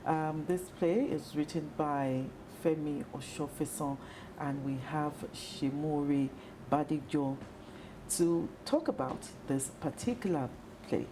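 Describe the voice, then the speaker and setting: Low-voiced, unhurried Nigerian woman hosting. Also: background noise. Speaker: television talk show host. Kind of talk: conversational studio interview.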